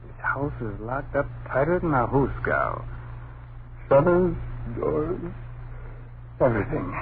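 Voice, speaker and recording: Folksy husband, jocular, dismissive, slangy, lively intonation, old-time radio horror, thin band-limited recording. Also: male voice, medium pitch, unhurried, narrow, tinny audio, a little hiss or hum. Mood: reassuring, impatient. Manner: folksy, breezy, slangy talk. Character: dismissive, jocular husband soothing his nervous wife at night.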